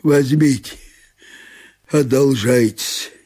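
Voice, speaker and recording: A man playing a fat, stubbornly aggrieved provincial landowner who speaks in breathless, halting phrases in an audio drama. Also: medium pitch, mellow. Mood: exhausted, laboured. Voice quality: weak, breathless.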